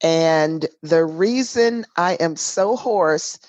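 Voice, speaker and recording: A woman with a hoarse, worn voice, talking unhurriedly and emphatically as she co-hosts a casual chat. Her tone sits fairly low, and the recording is close and dry with no room sound. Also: a little mellow, dry recording. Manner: emphatic, drawn-out, folksy asides like "girl" and "honey". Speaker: podcast co-host. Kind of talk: casual chat between sisters. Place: home in a city in Washington State.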